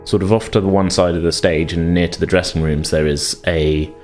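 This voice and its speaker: Hesitant low-voiced male game master. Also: steady tone, a little fast, a little mellow, faint music behind. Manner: hesitant, heavily hedged, piecemeal phrasing. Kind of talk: improvised tabletop game narration.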